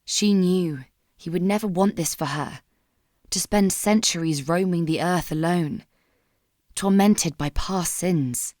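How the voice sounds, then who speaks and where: A woman narrator reads dramatic fiction in a somber, measured way. Her voice sits a little low, and the recording is dry and close to room-free. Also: darkish tone, no room sound. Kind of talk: dramatic scripted story narration.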